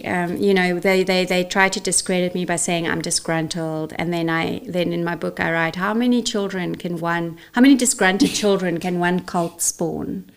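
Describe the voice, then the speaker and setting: South African woman, Afrikaans native, interview guest; outspoken, emphatic, stumbles and restarts. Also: fairly low pitch. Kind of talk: interview conversation.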